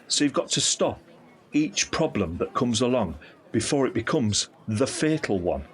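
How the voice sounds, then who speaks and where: An actor playing a candid, caring middle-aged uncle in an audio drama, speaking in an earnest, reflective, explanatory way. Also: male voice, medium pitch, very animated, moderate pace, natural voice. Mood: sober, explanatory. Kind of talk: scripted audio drama dialogue.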